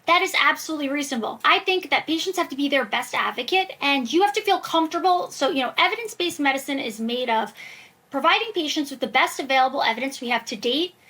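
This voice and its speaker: Female medical expert for podcast interview: very high, forceful bright voice, emphatic and reassuring explainer. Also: lively intonation, fast talker. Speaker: guest expert, preventive cardiologist. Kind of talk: conversational podcast interview answers.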